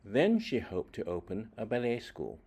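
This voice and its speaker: Male children's storyteller with a fairly low voice, reading expressively with deliberate phrasing and varied, dramatic emphasis. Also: sing-song, warm tone, breathy edge. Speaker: children's book reader.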